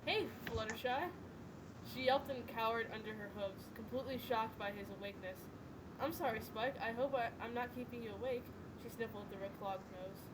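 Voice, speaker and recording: A woman's voice: fairly high pitch, fairly quick. A woman reading a story aloud casually, voicing the dialogue as she goes. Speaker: reader in a group fanfiction reading. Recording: noisy home recording.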